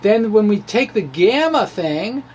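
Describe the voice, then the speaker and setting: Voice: mid-range voice, big pitch swings, darkish tone. Informal amateur science explainer, conversational, stop-start delivery, faintly noisy recording. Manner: halting, self-interrupting, conversational. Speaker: amateur physicist explaining own work. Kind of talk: informal off-the-cuff physics explanation.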